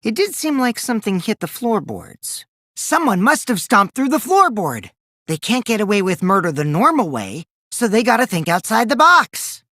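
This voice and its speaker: A man's voice: high voice, sing-song, normal speed, sharp tone, no room sound, clean studio sound, heavily acted. An actor playing a mischievous, taunting teenage boy in a video game, theatrical and teasing, with a youthful boyish voice that can turn from cutesy to cold. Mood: playful, explaining. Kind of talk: animated game dialogue in a murder-mystery trial.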